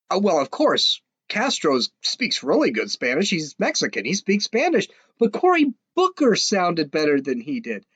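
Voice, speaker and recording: A podcast host, a man with a fairly high voice, rants in a casual, scoffing way, tripping over restarts as he mocks what he has just played. He is recorded close and dry, with no room sound at all. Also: fairly bright tone, no echo. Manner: mocking, scoffing, rambling with restarts. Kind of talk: casual opinionated rant.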